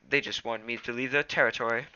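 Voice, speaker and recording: A man's voice: medium pitch, steady tone, fast talker, pushed and bright, clear, resonant tone, dull audio. A man voicing a restless, determined young male pet cat in a storybook, earnest and resolute.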